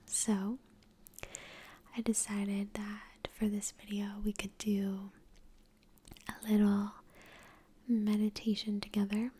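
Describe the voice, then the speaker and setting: A woman whispering gently and unhurriedly in a breathy voice, close to the microphone, guiding an ASMR meditation with long pauses. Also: medium pitch, completely flat delivery. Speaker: ASMR creator and meditation guide. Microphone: close microphone in front of the face.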